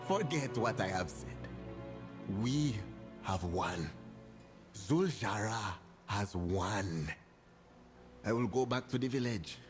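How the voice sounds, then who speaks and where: A brooding young troll prince from a video game, speaking very slowly and deliberately. He is proud, resentful and grandiose, with clear music playing behind him. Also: medium pitch, heavily acted. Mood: resigned.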